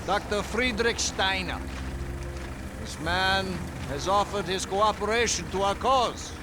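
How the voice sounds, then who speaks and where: Stern Soviet commander, Russian accent, imperious, slow delivery, raised pitch, game cutscene. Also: male voice, noisy background. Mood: stern, threatening. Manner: imperious, measured. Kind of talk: dramatic war game acting.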